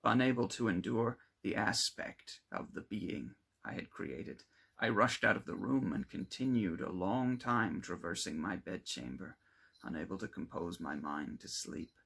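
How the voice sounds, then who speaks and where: A man with a husky, gravelly voice reads a classic gothic novel aloud in a theatrical, emphatic way, setting phrases apart. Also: sits low, lively intonation, unhurried, fairly bright tone. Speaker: amateur audiobook reader.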